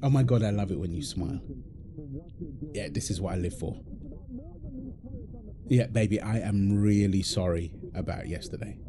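A man's voice: deep voice, moderate pace, long pauses, noisy background, natural voice. A man playing a playful, doting husband in an intimate audio roleplay, teasing and affectionate, full of exclamations. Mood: tender, apologetic. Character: playful, doting husband apologizing to his pregnant wife.

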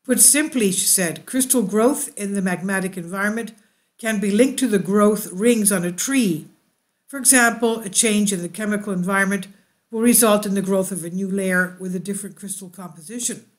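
A woman's voice: medium pitch, normal speed. A woman hosting a science news channel, reading an article aloud and breaking off into asides with fillers and self-corrections. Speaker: YouTube news host.

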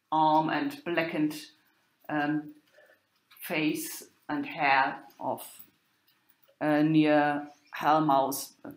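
A woman lecturer giving an unhurried talk, pausing often with ums and uhs. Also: deep voice, echoey room, low-quality recording. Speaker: university lecturer. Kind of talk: lecture on medieval drama.

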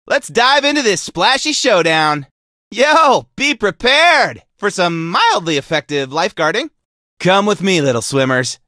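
A man's voice: extremely high pitch, pushed and bright, dry recording, clean studio sound, heavily acted. A man voicing a goofy, laid-back surfer-dude lifeguard mascot in a video game, with a slangy drawl. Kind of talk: cartoony video game voice lines.

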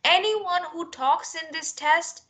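A young woman with a high, bright voice leads a spoken English lesson. She sounds direct and instructive, putting short, clear questions as an examiner would and coaching her students. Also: takes it slowly. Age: young adult. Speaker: English teacher and mock test interlocutor. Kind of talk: spoken test interview and coaching.

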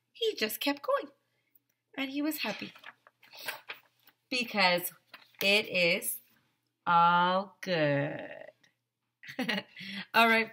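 A woman in her thirties, a teacher, reading a picture book aloud to young children in a theatrical, exaggerated, sing-song manner with drawn-out words. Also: medium pitch, bright, forceful tone, a little hiss or hum. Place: small decorated room, indoors. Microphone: headset.

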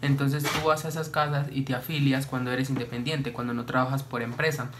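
A young man, calmly explaining a story to camera in a small home room, with many fillers. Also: mid-range voice, brisk, a little hiss or hum. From Colombia. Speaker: vlogger.

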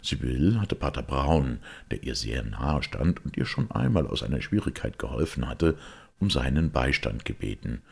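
A man reading a detective story aloud as an audiobook narrator, measured and expressive, with a gravelly, husky voice. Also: rumbling low. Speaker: audiobook reader.

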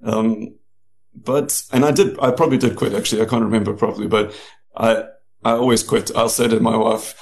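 Gravelly low-voiced man. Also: steady tone, fairly quick, darkish tone. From New Zealand and South Africa. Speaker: guest, novelist. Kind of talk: casual interview storytelling.

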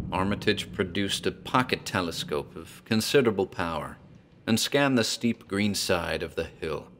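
A man giving measured, grave scripted horror narration. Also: deep voice, a bit bright.

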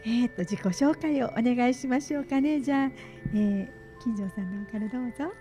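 Brief: woman radio host, middle-aged, fairly high and soft-toned, polite conversational delivery, faint music behind. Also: fairly quick. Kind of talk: live radio hosting, announcing and interviewing. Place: small community radio studio. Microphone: studio boom microphone with headset headphones.

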